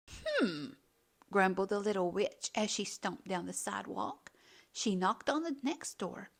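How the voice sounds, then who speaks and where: A woman reading a children's picture book aloud as a storyteller, in an animated narrating style. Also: fairly high pitch.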